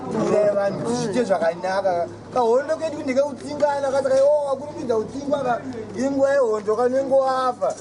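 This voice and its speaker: A man's voice: extremely high pitch, fast, few pauses. A Zimbabwean man with a Zimbabwean accent, talking in an erratic, boastful way in a rambling street interview. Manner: boastful, erratic, jumps between topics. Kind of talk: rambling street interview, switching between Shona and English. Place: outdoors in a busy urban street. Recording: loud crowd noise outdoors.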